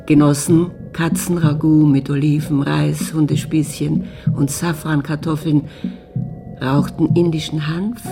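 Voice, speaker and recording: A woman's voice: low voice, creaky. A woman reading scripted literary narration with a dramatic delivery. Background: music.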